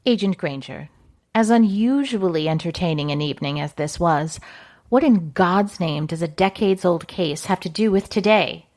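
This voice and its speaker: A woman plays an exasperated section chief in an audio drama. Her voice is fairly low, with a stern, formal and articulate delivery. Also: animated, moderate pace, a little mellow, close to an everyday voice.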